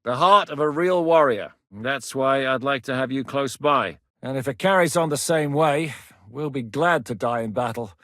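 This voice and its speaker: A man's voice: mid-range voice, normal speed, bright, forceful tone, no echo, clean studio sound, natural voice. A man voicing a fierce, blunt warlord commander. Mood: grave. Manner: commanding, blunt, swears in battle. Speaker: military commander character. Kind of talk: video game voice lines.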